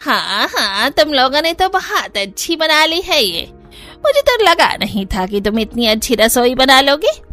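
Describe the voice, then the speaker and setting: A woman's voice: sits high, sing-song, unhurried, sharp tone, faint music behind, exaggerated voice. A woman voicing an agreeable village woman in a cartoon, talking with family. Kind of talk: Hindi cartoon character dialogue.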